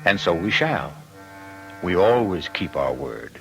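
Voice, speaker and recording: An actor as the ominous, suave storyteller host of a radio mystery show, narrating in a formal, measured manner. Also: male voice, deep voice, big pitch swings, over music, heavily acted, low-quality recording. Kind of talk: old-time radio scripted narration.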